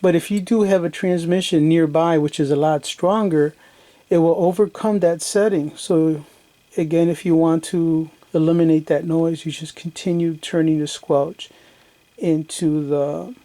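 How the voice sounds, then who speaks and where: Higher-voiced man giving a hands-on demo. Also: fairly even intonation, measured pace, dark tone. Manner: plain-spoken, step-by-step explaining. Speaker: CB radio hobbyist demonstrator. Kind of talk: casual hands-on product demonstration. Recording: noisy recording with radio static. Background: radio static and background noise.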